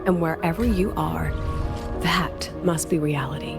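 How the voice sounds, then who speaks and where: Composed, teasing academy mentor, music behind. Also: female voice, low-pitched, measured pace, gravelly. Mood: wistful, confiding. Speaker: video game voice actress. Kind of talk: scripted game dialogue. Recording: studio voice over game music.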